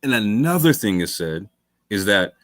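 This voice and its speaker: A man hosting a late-night livestream, arguing his points with heavy repetition and calling the audience fam. His voice sits fairly low and sounds tired after hours on the air. The sound is close and dry, with no room echo. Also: very animated, normal speed, a bit bright. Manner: emphatic, repeats phrases, calls listeners "fam". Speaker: host of a debate talk show.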